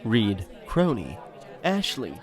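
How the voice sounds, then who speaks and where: A man's voice: on the low side, huge pitch swings, takes it slowly, faint music behind, a faint crowd, exaggerated voice. A man narrating a scripted audio drama in a wry, teasing manner.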